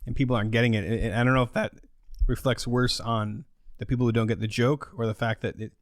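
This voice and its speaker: Mid-pitched man bantering on a dry podcast. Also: fairly even intonation, quick pace, no room sound. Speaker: podcast co-host. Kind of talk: casual, joking podcast banter.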